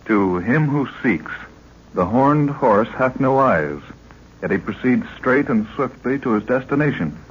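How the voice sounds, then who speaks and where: An actor playing a keen, respectful young assistant in an old radio adventure serial, straightforward and deferential. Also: male voice, medium pitch, lively intonation, a little slow, close to an everyday voice. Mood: attentive. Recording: muffled, noisy old-time radio recording.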